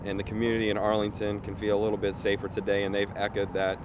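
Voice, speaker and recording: Low, monotone American police spokesman. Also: quick pace. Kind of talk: official statement to reporters. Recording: clear outdoor traffic noise.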